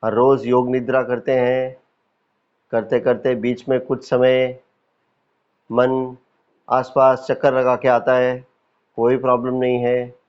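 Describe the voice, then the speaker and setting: Slow-paced Indian man guiding meditation. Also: mid-range voice, smooth, muffled recording. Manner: calm, instructive, with deliberate pauses and repetition. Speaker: meditation teacher. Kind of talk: guided yoga nidra meditation instruction.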